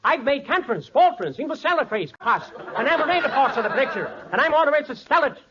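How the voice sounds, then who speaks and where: An actor playing an excitable, pompous male film director who blusters in garbled double-talk in 1940s radio comedy. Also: extremely high pitch, quick pace, a crowd in the background. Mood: indignant, flustered. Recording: dull 1940s radio broadcast, studio audience.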